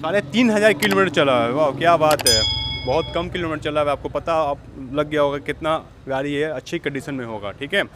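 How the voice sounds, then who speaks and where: A man's voice: a little high, fast, very noisy. A young man hosting an upbeat walk-through vlog at an outdoor used-vehicle lot, echoing the seller's answers back. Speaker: vlogger host. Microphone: handheld lapel-style mic.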